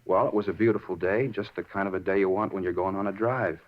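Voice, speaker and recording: An actor playing an unhinged, self-justifying murder suspect in a 1950s radio drama, rambling over small details and repeating himself. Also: male voice, low-pitched, steady tone, fast talker, natural voice. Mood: dreamy, insistent. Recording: muffled 1950s radio recording.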